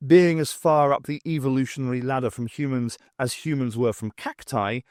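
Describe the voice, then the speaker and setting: A man's voice: mid-range voice, a little mellow, no room sound, clean studio sound. A man narrating a scripted story as an audiobook reader.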